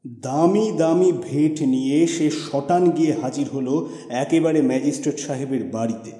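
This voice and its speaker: A man narrating a literary story as an audiobook, with expressive, theatrical delivery. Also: a little high, moderate pace. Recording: echoey reverberant voice over music.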